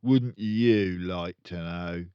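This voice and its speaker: A man voicing a rude, boastful, crooked car-dealer father in a storybook, loud and sneering. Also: deep voice, very slow, smooth, no echo, highs cut off. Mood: smug boasting. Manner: loud bragging, sneering, colloquial.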